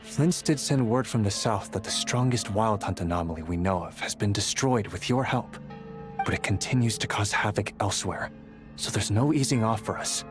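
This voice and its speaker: A man's voice: on the low side, moderate pace, natural voice. A man voicing an earnest, dutiful young squad leader in a video game, explaining things in a friendly way. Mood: serious. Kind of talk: English video game character acting. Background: background music.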